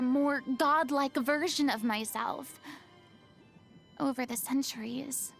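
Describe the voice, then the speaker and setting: A woman's voice: high-pitched, creaky, exaggerated voice. A woman voicing a proud, dramatic young stage idol with a secretly wistful side in a video game, speaking in a refined, theatrical way. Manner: theatrical, refined, haughty diction with dramatic swings. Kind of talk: video game character voice lines.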